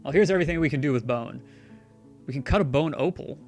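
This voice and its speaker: A man's voice: fairly high pitch, quick pace, darkish tone, soft background music. A man streaming a video game, chatting loosely and sarcastically talking back to the characters on screen. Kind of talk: rambling live-stream commentary.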